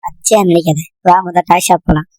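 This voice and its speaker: Woman voicing a low-pitched bossy cartoon boy. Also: flat delivery, brisk, mellow, dry recording, close to an everyday voice. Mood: teasing. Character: bossy, mischievous young boy, the scheming cartoon sidekick. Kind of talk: cartoon comedy voice acting.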